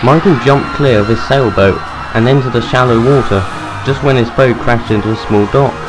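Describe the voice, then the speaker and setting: Mid-pitched male storyteller over music. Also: moderate pace, rough recording. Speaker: narrator. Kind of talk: scripted story narration.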